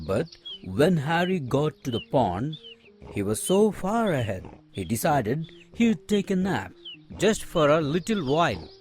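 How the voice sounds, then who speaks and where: A theatrical, expressive narrator telling a children's animal fable in an animated storytelling style. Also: medium pitch, unhurried, faint music behind.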